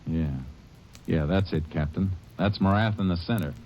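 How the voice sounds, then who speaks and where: A man playing a very deep-voiced Texas Ranger. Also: normal speed, a little hiss or hum, lightly acted, rough recording. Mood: determined. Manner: calm, clipped, matter-of-fact. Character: steady, sharp-witted male Texas Ranger. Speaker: lead actor and narrator. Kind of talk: 1940s radio acting. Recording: old radio broadcast with music.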